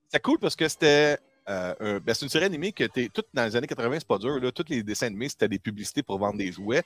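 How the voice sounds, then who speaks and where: A man's voice: medium pitch, expressive, quick pace, fairly bright tone, no echo. A middle-aged man with a Québécois French accent, explaining things enthusiastically in a casual panel chat. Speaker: podcast panelist.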